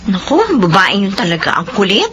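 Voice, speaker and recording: A woman's voice: medium pitch, huge pitch swings, a little fast, a bit bright, a little hiss or hum. An actress playing a modest, reluctant and dutiful young woman in a Filipino radio drama. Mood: casual, dutiful.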